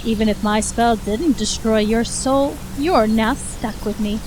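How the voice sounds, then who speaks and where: A woman playing a mocking young mage, high-pitched. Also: measured pace, darkish tone, slight background noise, natural voice. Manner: mocking, disdainful. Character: scornful young female mage taunting from within a mind.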